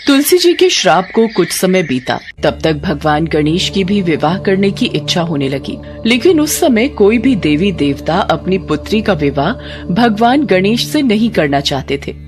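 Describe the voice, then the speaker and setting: A woman storyteller narrates a devotional tale with a lively, varied pitch. Her delivery is measured and expressive, with clear music playing behind her.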